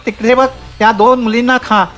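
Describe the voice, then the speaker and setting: A woman's voice: a little high, steady tone, normal speed, fairly bright tone, close to an everyday voice. A woman voicing a rude, grumpy little bearded male dwarf in a children's cartoon story, with a blustering, indignant manner. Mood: frightened pleading.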